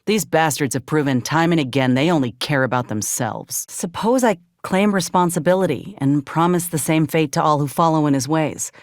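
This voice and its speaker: An actress playing a grim, resolute outcast soldier in a video game, with a folksy drawl and a measured, wry delivery. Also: female voice, sits low, no echo, studio quality, close to an everyday voice. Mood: brooding, calculating. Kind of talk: video game character dialogue.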